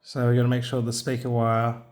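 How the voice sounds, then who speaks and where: A man narrating a hands-on assembly tutorial in a casual, careful, unscripted way, pausing as he works. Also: medium pitch, completely flat delivery, darkish tone. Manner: unscripted, careful, halting while working with hands. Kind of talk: casual step-by-step instructional narration. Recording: background noise with clicks and handling sounds.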